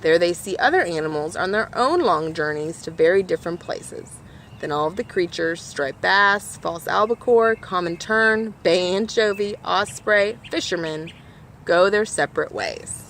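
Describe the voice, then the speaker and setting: A woman with a slightly low voice reads a picture book aloud for children. She addresses her listeners directly in an explanatory way. There is some ambient noise behind her. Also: expressive, measured pace, fairly bright tone. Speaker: storybook reader for a nature organization.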